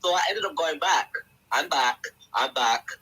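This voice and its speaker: A young man with a husky, very bright, forward voice, speaking a little high for a man. He tells his story as a podcast guest in a lively, animated way and slips into the voices of the people he quotes. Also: measured pace, rough recording. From England. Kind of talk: casual podcast testimony.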